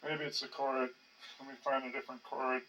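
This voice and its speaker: An older man giving casual, rambling commentary as he tinkers, halting and muttering to himself. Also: medium pitch, level tone, bright, forceful tone. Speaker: hobbyist narrating his own projects. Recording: distant mic with faint background noise.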